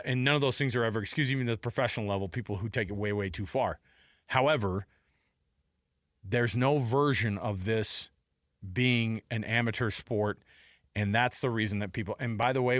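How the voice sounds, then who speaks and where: A man's voice: mid-range voice, brisk, fairly bright tone, no room sound, studio quality. A middle-aged man co-hosting sports radio, giving emphatic opinions with rhetorical questions and repeated points.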